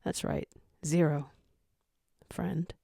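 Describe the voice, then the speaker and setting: A frail young jackal played by a woman from a dramatic fan story, worn out and bitter. The voice is low for a woman, with a creaky edge, and carries a gloomy defiance. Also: fairly even intonation, extremely slow, warm tone, dry recording, clean studio sound, exaggerated voice. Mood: weary, gentle.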